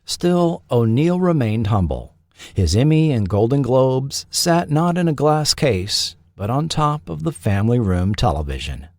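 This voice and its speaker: Guy doing scripted history podcast narration, measured but really varied pitch, dry studio-like sound. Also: very animated, a little mellow, dry recording.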